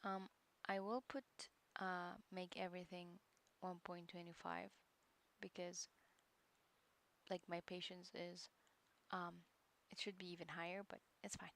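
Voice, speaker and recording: A woman with a low speaking voice chatting casually on a live stream as she works through a course, hesitant and full of fillers and muttered asides. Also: level tone, a little slow, pauses often, fairly bright tone. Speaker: livestreamer studying a course.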